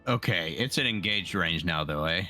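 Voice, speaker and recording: A man's voice: sits low, expressive, normal speed, bright, forceful tone, soft background music. A man chatting casually as a player in a tabletop game, somewhat hesitant and questioning.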